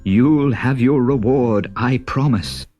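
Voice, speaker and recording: A man's voice: mid-range voice, slow pace, warm tone, soft background music, pitched above the performer's own voice, close to an everyday voice. A man voicing a grand, grateful, wounded desert king in a storybook, with emphatic, declaiming speech. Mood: grateful, proud.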